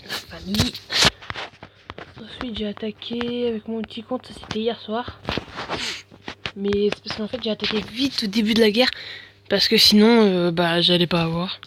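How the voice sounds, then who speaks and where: A man's voice: high-pitched, fairly even intonation, moderate pace, long pauses, heavy background noise. A man with a bad head cold giving casual, mumbling commentary over gameplay, full of slang and fillers.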